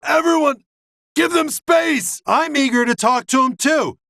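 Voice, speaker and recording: An actress playing a kindly but firm villager who keeps the neighbours in order in a video game. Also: female voice, high-pitched, measured pace, a bit bright, no room sound, studio quality. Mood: firm, pleading. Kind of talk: video game character dialogue.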